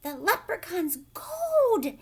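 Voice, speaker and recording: A woman's voice: very high voice, sing-song, slow, pitched above the performer's own voice, full character voice. A woman voicing a kind, anxious old man harper in a storybook, earnest and folksy. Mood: astonished.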